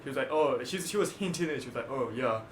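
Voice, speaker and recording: Excitable rambling young man. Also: medium pitch, fast talker, reverberant, a little hiss or hum. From raised partly in Singapore. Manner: rambling, excitable storytelling with lots of swearing. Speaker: podcast co-host. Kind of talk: casual chat among friends. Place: indoor room with friends.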